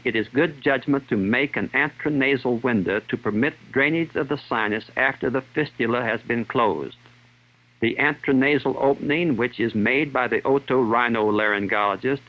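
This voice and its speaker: A man reading scripted instructional narration in a formal, measured, clinical manner, in the style of a 1960s training film. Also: medium pitch. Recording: dull 1960s film soundtrack.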